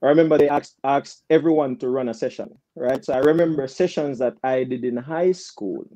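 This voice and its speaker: A man's voice: medium pitch, a little fast, warm tone, no room sound, low-quality recording. A man from Jamaica with a Jamaican accent, a guest coach telling casual anecdotes with quoted dialogue and frequent "right?" tags. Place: video call.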